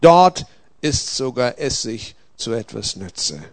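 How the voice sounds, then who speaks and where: A man voicing a stern but gentle angel who admonishes solemnly in a dramatic story reading. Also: medium pitch, sing-song, slow, no room sound. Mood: gently firm.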